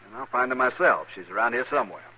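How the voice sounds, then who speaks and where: A man's voice: mid-range voice, lively intonation, quick pace, pushed and bright, slightly rough, highs cut off, full character voice. An American actor playing a menacing, haughty, jealous man in an old radio western, with curt and threatening delivery. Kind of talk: mid-century American radio western acting.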